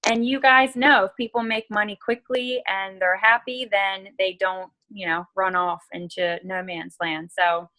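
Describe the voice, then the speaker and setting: Woman co-hosting a team video call, bright voice, really dry sound, casual and plain-spoken. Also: medium pitch, fairly even intonation, unhurried, hardly any pauses, dry recording, rough recording.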